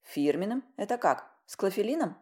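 A woman's voice: medium pitch, sing-song, a little fast, a little mellow, pitched above the performer's own voice, close to an everyday voice. A woman voicing a tired, sarcastic, irritable woman doctor in her mid-thirties for an audiobook story, with a snappy manner. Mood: wry. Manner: snappy, sardonic.

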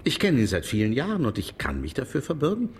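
A man's voice: mid-range voice, wildly swooping pitch, quick pace, slightly rough, full character voice. An actor playing a stout, dismissive London publisher in his fifties, formal and emphatic, in a German radio drama. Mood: firm denial.

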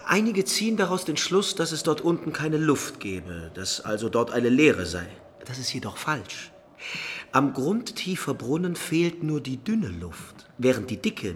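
An actor playing a stubborn, pedantic medieval scholar, lecturing and disputing in a German radio play. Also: male voice, mid-range voice, slight background noise. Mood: sceptical.

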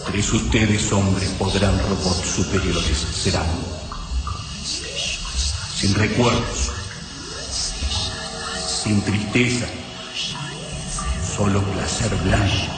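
Slow, echoing, lugubrious alien overlord. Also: male voice, sits low, fairly even intonation. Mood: commanding. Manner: broken, inverted syntax in solemn fragments. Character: cold alien overlord, slow and menacing invader. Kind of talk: radio drama acting. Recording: cavernous echo, loud music underneath.

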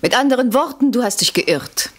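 An actress playing a proud, calculating unfaithful wife in a German radio drama, articulate and insistent, with a standard German accent. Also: female voice, fairly high pitch, lively intonation, normal speed. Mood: cool, taunting.

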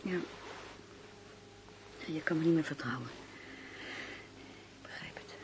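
An actress playing an anguished, remorseful informant in a Dutch radio drama, speaking hesitantly and emotionally with a creaky voice. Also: female voice, sits low, slow pace, whispered. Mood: pleading, tender. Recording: noisy radio play recording.

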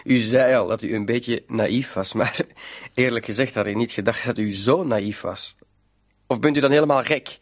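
An actor playing a mocking, menacing blackmailer in a radio play. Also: male voice, mid-range voice, moderate pace, lightly acted.

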